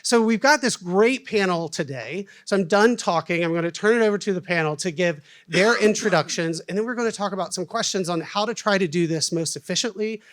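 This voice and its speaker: A man in his forties with a Southern American accent opens a conference panel, talking in a folksy, self-deprecating way. His voice sits fairly high, and he fills his sentences with ums and uhs. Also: brisk, hardly any pauses. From United States. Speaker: panel moderator.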